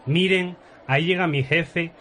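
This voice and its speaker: An earnest government scientist in a parody radio soap. His voice is a bit high for a man, pompous, formal and straight-faced, with slight noise behind. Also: a little slow, a bit bright. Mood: officious. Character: earnest, pedantic male government scientist investigator. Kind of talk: absurd radio soap acting.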